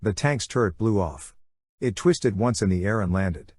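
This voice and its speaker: A man's voice: lowish voice, moderate pace, a little mellow, breathy edge, no room sound, clean studio sound. A man narrating a dramatic first-person story from a script as an audiobook reader.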